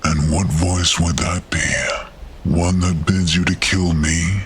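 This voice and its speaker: A man's voice: low-pitched, steady tone, noisy background. A man voicing a huge, stern, suspicious spirit wolf in a fantasy audio drama, speaking formally and menacingly. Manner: slow, menacing, formal questioning. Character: giant stern suspicious male spectral wolf guardian.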